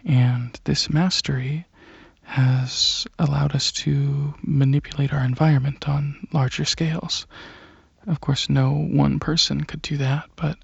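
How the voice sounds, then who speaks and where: A man playing a shy, gentle alien dragon in an ASMR roleplay, speaking softly and haltingly in a breathy, earnest way. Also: a little high, flat delivery, dry recording, dull audio, full character voice. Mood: explaining. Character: shy, gentle alien dragon host, curious about Earth. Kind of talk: ASMR roleplay, soft rambling monologue.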